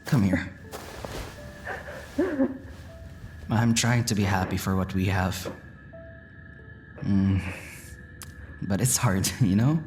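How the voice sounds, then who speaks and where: An actress playing a tender but angry woman full of regret in an audio drama, speaking haltingly and with emotion. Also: extremely low pitch, darkish tone, faint music behind, rough recording. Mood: gentle, sad. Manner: halting, emotional, sighing pauses.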